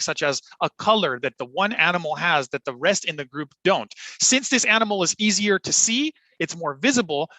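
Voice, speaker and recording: A man coaching exam speaking on a livestream, encouraging and clear as he models answers and thinks aloud. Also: high-pitched, pushed and bright, no room sound, low-quality recording. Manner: upbeat and encouraging, clear deliberate delivery.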